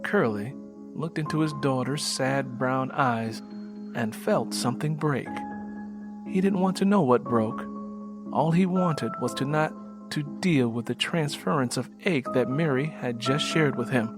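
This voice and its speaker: A man's voice: mid-range voice, a little slow, music in the background. A man reading dramatic scripted narration for an audio drama. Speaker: writer and narrator.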